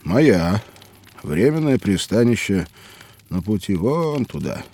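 An actor playing a hearty, hospitable mountaineer in an audio drama for children, speaking expressively. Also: male voice, on the low side, very animated, slow pace, warm tone, heavily acted. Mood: welcoming. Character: hearty hospitable mountaineer by a campfire below Everest. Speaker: mountaineer character.

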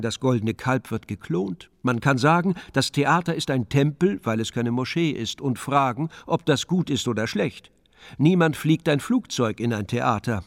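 An actor in a radio drama delivering a scripted monologue as a measured, sardonic commentator. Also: male voice, medium pitch, no room sound, clean studio sound, natural voice. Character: dry, sardonic commentator on the theatre.

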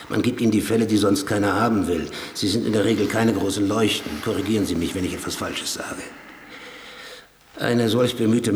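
Vain, world-weary recluse writer male actor, low voice, condescending irony, radio drama with long reverb. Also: slight background noise, close to an everyday voice. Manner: condescending, deliberate, wordy and ironic. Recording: long reverb, music behind.

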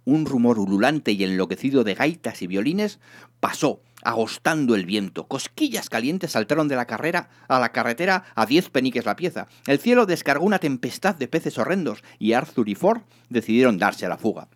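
Expressive male audiobook narrator. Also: medium pitch, brisk. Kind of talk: dramatised comic audiobook narration.

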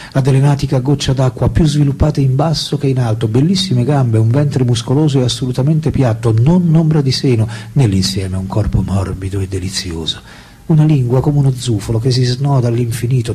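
A man in a radio drama playing a French philosopher-writer who confides his memories and love letters in an intimate, deliberate, literary way. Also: mid-range voice, mellow, slight background noise, lightly acted. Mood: wry, candid. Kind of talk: theatrical radio drama monologue. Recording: music behind the voice.

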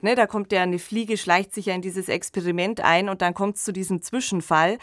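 A woman's voice: medium pitch, no echo, studio quality. A woman, co-hosting a podcast and explaining things in a colloquial, conversational way. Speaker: podcast co-host. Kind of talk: conversational discussion of fiction and film.

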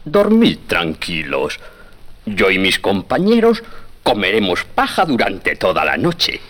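A man's voice: low voice, wildly swooping pitch, takes it slowly, a bit bright, heavily acted. A man voicing a kindly, reassuring horse in a children's storybook tale. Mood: calm, reassuring. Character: kindly male horse, reassuring old friend.

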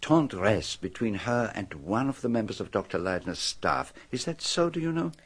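A man's voice: fairly low pitch, very animated, moderate pace, husky, exaggerated voice. A man playing a shrewd, courtly detective with a French accent in a radio drama, speaking with theatrical, probing courtesy. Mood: courteous, probing.

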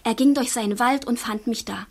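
A young village tomboy in a radio play, with a fairly high, slightly husky voice. She speaks earnestly and frankly, naive and openly in love. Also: fairly quick, a little mellow. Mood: earnest, wounded. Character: young village girl in boys' clothes, bold, lovestruck, artless. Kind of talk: literary radio drama acting.

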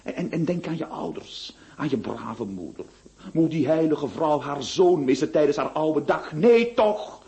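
An actor playing a scheming notary who swings between syrupy coaxing and gleeful threats. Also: male voice, a little high, expressive, a little slow, gravelly, warm tone. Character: scheming middle-aged male notary, wheedling yet menacing. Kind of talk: radio drama acting.

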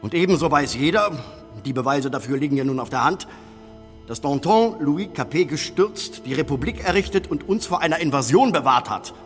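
A man's voice: fairly high pitch, expressive, brisk, long reverb tail, faint music behind, natural voice. An actor playing an indignant, sarcastic official standing his ground in a radio drama. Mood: scornful protest. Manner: sarcastic, protesting.

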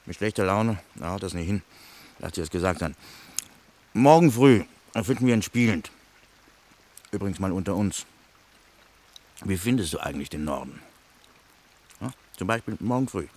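An actor playing a grumbling, bossy lost soldier in a radio play, with coarse, needling talk. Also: male voice, deep voice, lively intonation, moderate pace, long pauses, darkish tone, a little hiss or hum. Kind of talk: German radio play dialogue.

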